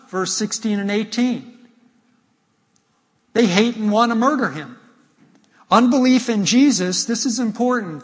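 A man preaching an expository sermon as a pastor, emphatic and deliberate, repeating key points and posing rhetorical questions. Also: high voice, a bit bright. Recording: echoey hall with long reverb.

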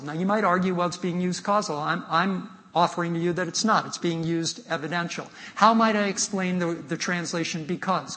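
A man preaching an expository sermon as a pastor, emphatic and deliberate, repeating key points and posing rhetorical questions. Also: sits high, a bit bright. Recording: echoey hall with long reverb.

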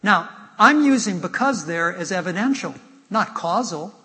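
A man preaching an expository sermon as a pastor, emphatic and deliberate, repeating key points and posing rhetorical questions. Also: sits high, expressive, bright, forceful tone. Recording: echoey hall with long reverb.

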